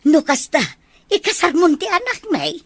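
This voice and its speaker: A woman playing an affectionate, excitable older mother in an Ilocano audio drama, speaking expressively. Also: very high voice, normal speed, no echo, full character voice. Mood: excited. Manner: expressive, exclamatory.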